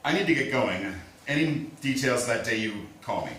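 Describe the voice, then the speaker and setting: A man acting in a staged reading as a steady, apologetic small-town lawman, speaking in a measured, reassuring way. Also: mid-range voice, lightly acted. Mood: measured, uneasy. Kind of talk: staged play reading, naturalistic. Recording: echoey room, distant mic, faint hiss.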